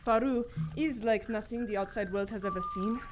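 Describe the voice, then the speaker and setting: A woman's voice: medium pitch, fairly quick, close to an everyday voice. A woman playing a proud, loyal captive adventurer in live radio theater, formal and defiant. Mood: wary, insistent. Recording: live stage recording, faint background noise.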